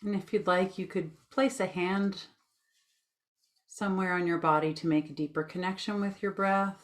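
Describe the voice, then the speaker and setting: A woman with a slightly low voice guides listeners through a meditation. She gives gentle, soothing instructions in plain, informal language, and she takes her time between phrases. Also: fairly even intonation, normal speed.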